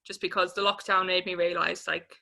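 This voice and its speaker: A woman in her twenties speaks as an interviewee about her own life. Her voice is bright and fairly flat in pitch. She talks informally and hesitantly, with plenty of casual fillers. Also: brisk, creaky. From Newcastle upon Tyne, England. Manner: informal, hesitant, peppered with 'like'. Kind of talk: candid personal account in an interview.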